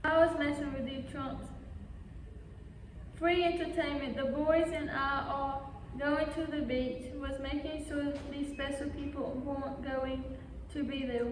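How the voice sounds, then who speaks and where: A cocky schoolboy puppet, acted by a woman, taunts in a high, slow voice. The sound is distant and rings around a hall with faint noise. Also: steady tone. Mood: mocking. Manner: taunting, insulting. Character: cocky bullying schoolboy puppet, sneering and crude. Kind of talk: puppet show comedy.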